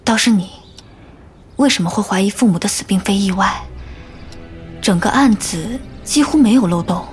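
An actress voices a well-born woman judge, assured and fiercely protective. Her mid-pitched delivery belongs to a modern audio drama, with some music underneath. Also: lively intonation, normal speed, long pauses, close to an everyday voice. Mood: confident, concerned. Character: protective, self-assured lady judge, devoted to her beloved.

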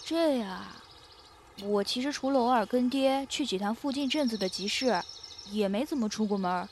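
A woman's voice: fairly high pitch, normal speed, lightly acted. A woman playing a friendly, modest boy from the woods in a Chinese costume radio drama, boyish and eager. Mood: welcoming. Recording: faint background noise, outdoor nature ambience.

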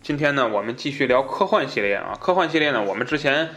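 A male podcast host, talking at a brisk clip. His delivery is casual and explanatory, like a relaxed book discussion, and he tends to restate phrases to make his point. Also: mid-range voice. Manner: conversational, explanatory, repeats himself for emphasis.

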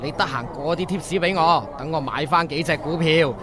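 An actor playing a jovial, chiding older family friend in a radio drama. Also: male voice, a little high, brisk, close to an everyday voice. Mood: chiding, generous. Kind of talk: Cantonese radio drama acting. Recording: busy banquet crowd behind the voice.

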